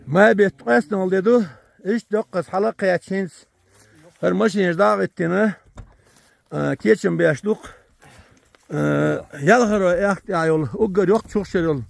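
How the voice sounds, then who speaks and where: A man from Chechnya chatting casually with companions while hiking, tossing out loud offhand remarks and short questions outdoors in a mountain gorge. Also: sits high, fairly quick, vocal fry, dry recording. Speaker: hiker filming a trip. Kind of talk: casual chat among companions on a hike.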